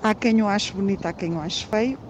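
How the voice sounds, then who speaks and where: A woman leaving a deliberate personal message as a radio listener. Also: mid-range voice. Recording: voice message, faint vehicle noise.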